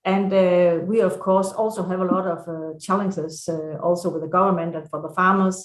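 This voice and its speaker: Female panel speaker, Danish accent and first language, lowish pitch, halting unscripted delivery, strong room echo. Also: fairly even intonation. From Denmark. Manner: halting, searching for words. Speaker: farmers' council vice president, panel speaker. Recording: echoey room.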